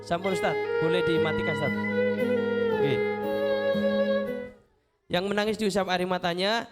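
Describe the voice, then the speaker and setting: Loud instrumental music sits under a man's high-pitched voice. He speaks as a motivational speaker addressing schoolchildren. His delivery is emotional and devotional, leaning on repeated phrases. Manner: pleading, repetitive phrasing with drawn-out invocations. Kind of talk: emotional led prayer and motivational talk to children.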